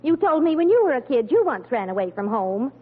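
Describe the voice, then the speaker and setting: An actress playing a concerned, gently firm mother and wife in a 1940s radio sitcom. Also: high voice, sing-song, fairly quick, slightly rough, full character voice. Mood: worried. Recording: tinny, faintly noisy vintage radio recording.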